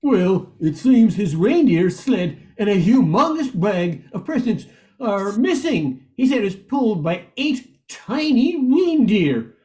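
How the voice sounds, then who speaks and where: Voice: medium pitch, very animated, slow pace, warm tone. Someone playing a flustered, timid lion police chief. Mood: puzzled. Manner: nervous, hesitant, flustered. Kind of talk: comic radio play acting.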